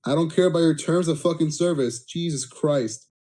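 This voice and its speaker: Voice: mid-range voice, normal speed, dark tone. A person giving a crude, mock-dramatic reading of a comic story, sometimes breaking off into blunt asides. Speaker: amateur story reader.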